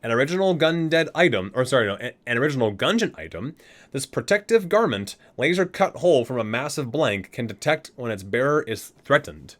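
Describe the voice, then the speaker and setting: A man's voice: medium pitch, big pitch swings, fairly quick, fairly bright tone. A man hosting an ASMR video, reading guidebook entries aloud and sometimes stumbling and correcting himself.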